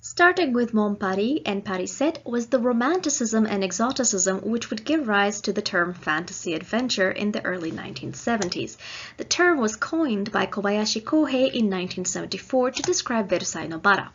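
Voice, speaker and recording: A woman delivering a scripted academic lecture in a measured, explanatory manner. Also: mid-range voice, expressive, muffled recording, a little hiss or hum. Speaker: guest lecturer.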